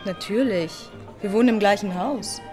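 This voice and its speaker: An actress playing a fun-loving, self-possessed young woman in a German radio crime drama, coolly coy and unhurried. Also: medium pitch, music in the background, lightly acted. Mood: coyly amused. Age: young adult.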